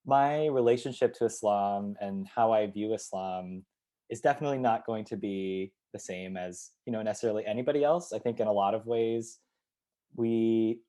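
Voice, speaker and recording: Male guest, community organizer. Mellow, relaxed timbre, reflective and searching delivery. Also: fairly low pitch, normal speed. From United States, Ohio. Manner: reflective, thinks aloud with many fillers and restarts. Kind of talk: interview answers.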